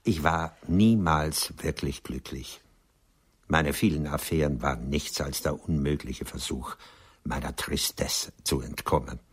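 An actor playing a melancholy older male writer in a radio play, confessing bitterly in a halting, resigned way, his breathy voice sinking toward a whisper. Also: very deep voice, heavily acted.

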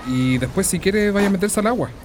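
An actor playing a dutiful grown son in a radio drama, deferential and reassuring, with a Chilean accent. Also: male voice, sits high, lively intonation, a little fast. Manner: deferential, soothing. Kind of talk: Spanish-language radio drama acting. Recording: noisy outdoor ambience.

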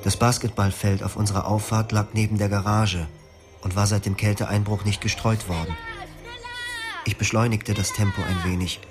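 A man narrating his memories in the first person, reflective and quiet. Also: lowish voice, level tone, moderate pace. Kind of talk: scripted memoir narration. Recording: music behind.

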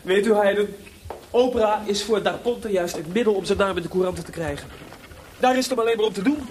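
An actor playing a lively, chatty young composer in a Dutch-language radio drama. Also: male voice, high-pitched, fairly quick, a little hiss or hum, lightly acted. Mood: gossipy, amused. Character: lively, worldly young composer Mozart, anxious about his audience.

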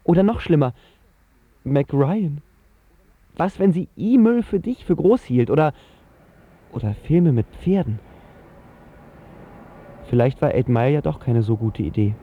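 A man's voice: a little high, expressive, unhurried, long pauses, breathy edge, phone-quality sound. An actor playing a lovestruck, self-doubting seventeen-year-old boy who tells his story in casual slang for a radio drama. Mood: anxious. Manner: slangy, colloquial. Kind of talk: scripted first-person radio drama narration.

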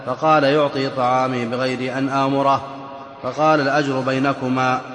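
A man reading a hadith text slowly and in measured phrases. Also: medium pitch, little pitch movement, a bit bright, a little hiss or hum. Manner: slow, measured recitation. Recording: echoey hall from far off.

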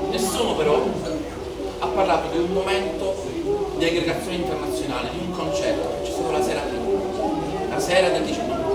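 An actor playing an earnest anti-globalisation protester in a declamatory, rhetorical stage monologue. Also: male voice, sits high, very noisy. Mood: wary, subdued. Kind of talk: theatrical political monologue. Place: theatre stage. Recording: echoey, distant stage capture under loud music.

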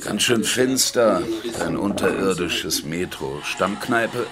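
An actor playing a blunt, sardonic, hard-drinking police investigator in a German radio crime drama. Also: male voice, low-pitched, lively intonation, slow, a loud crowd. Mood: dry, mocking. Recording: dramatic music behind the voice.

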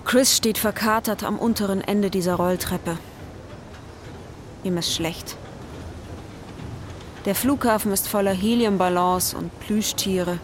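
A woman's voice: mid-range voice, steady tone, slow, long pauses, close to an everyday voice. A woman narrating a radio drama in a scripted, literary style. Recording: clear urban outdoor ambience noise behind.

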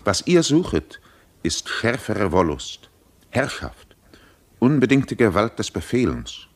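A grave, all-seeing physician in a radio drama. He has a very deep voice and declaims in measured phrases with sweeping intonation. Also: big pitch swings, full character voice. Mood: accusing. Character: solemn, penetrating physician who reads faces. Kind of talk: theatrical radio drama acting.

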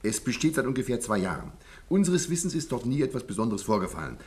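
An actor playing a dutiful, deferential police inspector in a 1950s German radio play, reporting politely and matter-of-factly. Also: male voice, medium pitch, sing-song, fast talker, a little mellow, natural voice. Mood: reporting, businesslike.

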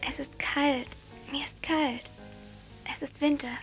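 A woman's voice: high voice, fairly even intonation, unhurried, soft background music. An actress playing a frail, dreamy small village girl in a radio drama, with a simple, literal way of talking. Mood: matter-of-fact. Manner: simple, literal, echoing phrases. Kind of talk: German radio drama acting.

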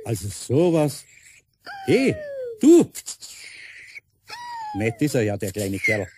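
A man's voice: mid-range voice, huge pitch swings, takes it slowly, background noise. A man playing a good-natured, grumbly, bewildered older master carpenter with a Bavarian accent in a radio play. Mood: flustered.

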